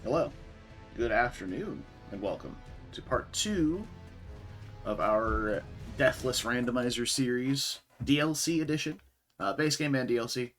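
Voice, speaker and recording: Raspy-voiced young man streaming a game. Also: mid-range voice, unhurried, soft background music. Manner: relaxed, chatty, answers chat. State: raspy voice after a concert. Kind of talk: casual live-stream commentary.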